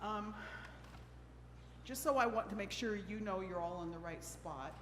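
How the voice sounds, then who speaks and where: A woman's voice: medium pitch, unhurried. A middle-aged woman from Oregon moderating a church panel discussion, chatty and a bit nervous, joking at her own expense. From Oregon, USA. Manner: nervous, self-deprecating, chatty with laughs. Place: church hall. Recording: long hall reverb with faint noise.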